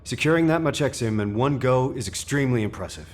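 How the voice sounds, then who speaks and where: A man playing a calm, supportive military commander in a sci-fi video game, speaking with authority. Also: mid-range voice, quick pace, lightly acted. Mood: calm resolve.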